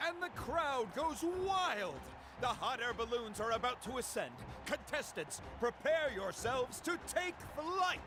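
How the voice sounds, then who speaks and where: High, showy arena announcer. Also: male voice, very animated, unhurried, noisy background, exaggerated voice. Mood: hyped. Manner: booming, showman. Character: enthusiastic male arena announcer. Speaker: tournament announcer. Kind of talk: video game voice acting. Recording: game music behind.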